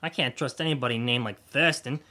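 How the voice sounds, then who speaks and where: A man's voice: medium pitch, a little fast, bright, forceful tone, close to an everyday voice. A man playing an easygoing, wisecracking husband and father in a comedy audio drama, casual and dryly joking. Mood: wry.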